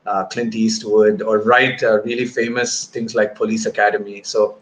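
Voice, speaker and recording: A man's voice: fairly low pitch, level tone, normal speed. A man in his thirties talking conversationally as an interview guest, speaking on a video call through earphones. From grew up in Bahrain and Malaysia. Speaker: guest filmmaker. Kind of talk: conversational interview answer, recounting career. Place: indoor room, remote video call. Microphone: wired earphones on video call. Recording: noisy video-call audio.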